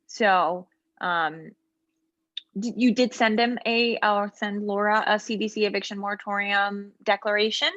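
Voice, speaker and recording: A woman trainer patiently walking a practice client through online software during a role-play interview. She explains each step and often pauses with ums and short asides. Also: mid-range voice, fairly even intonation, no room sound, dull audio. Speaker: legal aid trainer. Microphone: video call.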